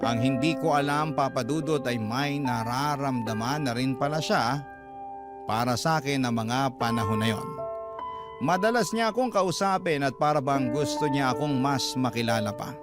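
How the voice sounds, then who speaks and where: A man's voice: medium pitch, moderate pace. A man with a Filipino accent reading a first-person letter as scripted radio story narration. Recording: loud music under the voice. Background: loud background music.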